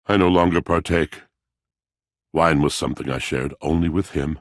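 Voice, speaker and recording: A man voicing a brooding, lovelorn, melodramatic video game character, speaking in a grandiose, poetic, mournful way with a gravelly voice. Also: a bass voice, moderate pace, no room sound, clean studio sound. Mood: yearning.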